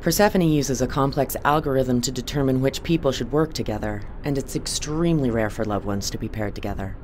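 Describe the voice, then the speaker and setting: A woman playing a composed, authoritative spaceship captain in an audio drama, measured and businesslike. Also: very deep voice, a little mellow, a little hiss or hum. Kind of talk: naturalistic audio drama acting.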